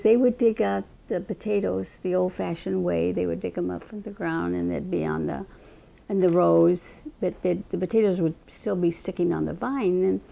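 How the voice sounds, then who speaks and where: A woman's voice: on the low side, vocal fry, warm tone, low-quality recording. An older woman, a state representative, unhurriedly recalling her childhood in an oral history interview. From Montana, raised in Eastern Washington. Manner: reflective, unhurried storytelling with frequent restarts.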